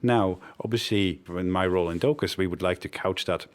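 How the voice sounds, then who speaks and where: A man's voice: sits low, fairly quick, smooth. A middle-aged man from the Netherlands, whose first language was Dutch, answering interview questions thoughtfully with frequent hesitations. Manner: thoughtful, hesitant, with fillers and self-corrections. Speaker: interview guest, director of a development network. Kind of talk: reflective conversational interview answers.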